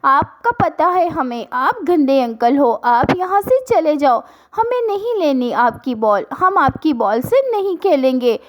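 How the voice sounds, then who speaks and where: Woman as tiny cartoon bird child, very high pitch, earnest, childlike, pleading, lively. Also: a little slow, few pauses. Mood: scared, insistent.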